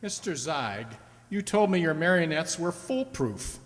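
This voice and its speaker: Radio drama: male actor as forthright, accusing client, stern, slightly high register, reverberant hall recording. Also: big pitch swings, a little slow, background noise. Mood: indignant. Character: stern, indignant male customer confronting a robot maker. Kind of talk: radio play acting. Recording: echoey hall, stage radio play.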